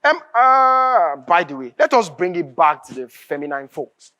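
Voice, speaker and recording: An actor playing an animated, agreeable friend in a stage play, exclamatory and reactive, with a Nigerian accent. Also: male voice, a little high, unhurried, highs cut off, exaggerated voice. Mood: probing. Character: Jude, animated, agreeable friend trading grievances about Nigeria. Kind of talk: projected stage play dialogue.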